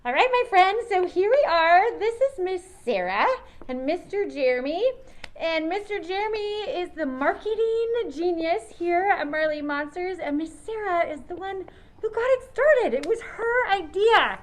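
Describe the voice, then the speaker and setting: Female children's host, high voice, encouraging, enthusiastic interviewer. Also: expressive, normal speed. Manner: encouraging, addresses children as friends.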